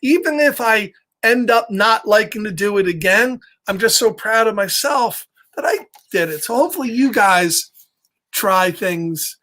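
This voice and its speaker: A man's voice: high-pitched, animated, unhurried, pushed and bright. A middle-aged man telling a children's story, with casual chatty asides and a playful, encouraging, simple way of talking.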